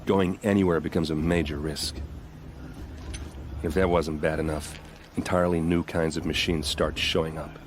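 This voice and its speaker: A friendly video game warrior with a deep voice. He is plain-spoken and wry, proud of his people and given to folksy boasting. Faint ambient noise sits behind him. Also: flat delivery, moderate pace. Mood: grave, explanatory. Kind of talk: game cutscene dialogue acting.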